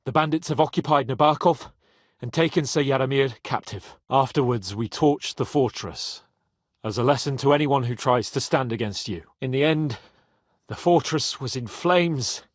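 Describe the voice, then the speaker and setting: Guy acting as young medieval lord for a game, cocky n haughty, bit husky, really dry close sound. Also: mid-range voice, moderate pace, dry recording, lightly acted. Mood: formal, grave. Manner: haughty, expressive, swearing freely. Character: brash young medieval nobleman, proud, hot-headed, loyal. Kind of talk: dramatic video game voice acting.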